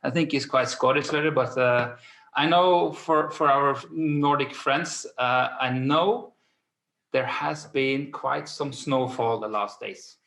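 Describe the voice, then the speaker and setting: An echoey video-call recording of a man with a Scandinavian accent. His voice is gravelly with plenty of fry. He hosts informally, feeling his way haltingly from phrase to phrase. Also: medium pitch, fairly bright tone. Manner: halting, hesitant delivery with repeated phrases. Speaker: host and business manager. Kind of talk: informal webinar introduction.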